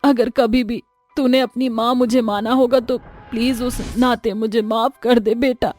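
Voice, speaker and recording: Casting: female narrator as self-serving middle-aged stepmother, highish pitch, plaintive storybook melodrama, music bed. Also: level tone, a little slow, darkish tone. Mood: remorseful. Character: harsh, scheming, later remorseful middle-aged stepmother.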